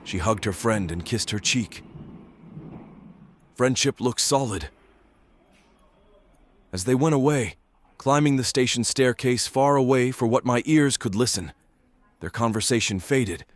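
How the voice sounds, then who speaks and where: A man playing a brooding stalker who narrates an audio drama in deliberate, detached, literary phrases. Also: mid-range voice, expressive, no echo, close to an everyday voice. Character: brooding male stalker narrating his nightly watch. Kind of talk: scripted first-person narration, audio drama.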